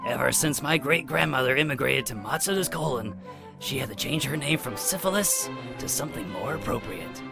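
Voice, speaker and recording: A man voicing a boastful, goofy death god in a comedic cartoon parody, with a gravelly, theatrical delivery. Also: mid-range voice, expressive, brisk. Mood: proud, indignant. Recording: music behind the voice.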